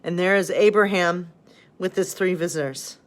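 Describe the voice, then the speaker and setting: A woman's voice: fairly low pitch, normal speed. A woman pastor speaking informally and a bit ramblingly to her listeners as friends, chatting and reading children's bedtime stories. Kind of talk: casual chat and storybook reading for children.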